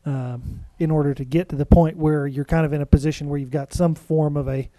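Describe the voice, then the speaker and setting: A man speaking as legal counsel at a public meeting, explaining in a halting, hedging way. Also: on the high side, steady tone, warm tone, dry recording. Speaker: legal counsel to a city council.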